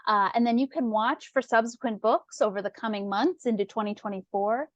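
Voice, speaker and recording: Higher-voiced woman interviewer, dry sound. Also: brisk, no room sound, dull audio. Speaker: interviewer and host from a book publisher. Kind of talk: conversational interview hosting.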